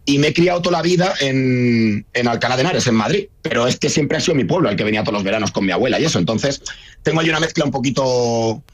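A husky, slightly breathy man speaks with a La Mancha Spanish accent. He is a comedian guest on a radio show, chatty and rambling, laughing easily as he tells his story. His pitch sits in an ordinary middle range. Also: lively intonation, quick pace. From Spain. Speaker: guest, comedian and video creator. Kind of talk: casual radio interview chat.

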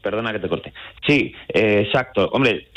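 A man's voice: fairly low pitch, expressive, moderate pace, fairly bright tone. A man from Madrid with a central Spanish accent explains things step by step as a guest in an informal radio interview, speaking over the phone. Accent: central Spain. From Madrid, Spain. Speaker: astrotourism guide, phone guest. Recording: dull lo-fi phone call.

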